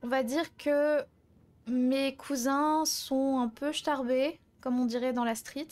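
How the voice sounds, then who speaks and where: A high-pitched young woman acted by a roleplayer in an online game story. She confides hesitantly and casually, as an anxious friend caught up in love troubles. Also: steady tone, takes it slowly, darkish tone. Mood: apologetic, anxious. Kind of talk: improvised roleplay dialogue, casual chat.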